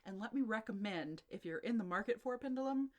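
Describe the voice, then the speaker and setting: A woman's voice: fairly high pitch, lively intonation, fast. A woman co-hosting a podcast in casual chat, giggly and rambling, often restarting her sentences. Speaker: podcast co-host.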